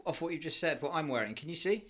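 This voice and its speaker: A man's voice: on the high side, animated, quick pace, sharp tone. A man co-hosting a podcast, talking in a casual, comic, conversational way. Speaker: podcast co-host. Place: indoors on a video call.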